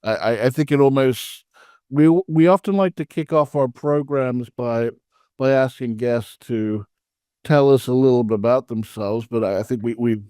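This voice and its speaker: A man hosting a podcast interview, asking questions haltingly with many repeated words and restarts. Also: mid-range voice, dark tone, clear, resonant tone, dry recording, studio quality. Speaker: podcast host.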